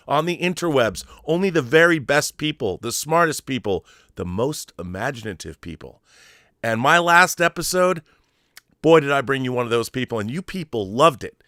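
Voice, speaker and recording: A man's voice: on the high side, a bit bright, no echo, clean studio sound. American man hosting with showman flair. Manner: effusive, theatrical. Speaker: talk show host. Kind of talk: showman intro, then casual fan interview.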